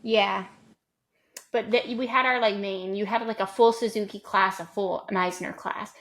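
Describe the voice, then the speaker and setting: A woman's voice: mid-range voice, fairly even intonation, a little slow, fairly bright tone. A woman co-hosting a casual chat show, talking loosely with many ums and likes. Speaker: podcast co-host.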